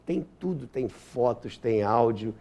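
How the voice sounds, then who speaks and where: A man's voice: medium pitch, animated, a little slow, gravelly, darkish tone. An older Brazilian man telling anecdotes as an interview guest, emphatic and repeating words as he searches for the next one. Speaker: interview guest, radio historian and author.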